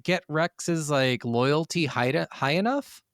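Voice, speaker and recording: Higher-pitched man chatting, dry close sound. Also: slow, fairly bright tone, dry recording. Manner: informal storytelling, swears freely. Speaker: podcast co-host. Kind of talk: casual gaming podcast chat.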